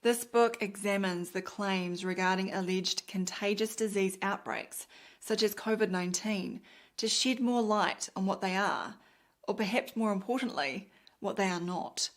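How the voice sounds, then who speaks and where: A woman's voice: mid-range voice, steady tone. Kiwi woman reading her book aloud. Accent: New Zealand. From New Zealand. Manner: measured, deliberate reading. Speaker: doctor and author narrating her audiobook. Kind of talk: scripted audiobook narration.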